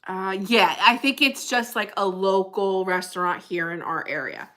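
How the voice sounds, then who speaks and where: Bright-voiced chatty woman from New Jersey. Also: normal speed. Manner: chatty, rambling, reacts to chat with sudden high exclamations. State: runny nose, cold. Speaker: livestream host. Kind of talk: casual livestream chat reading comments. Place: apartment room at a desk.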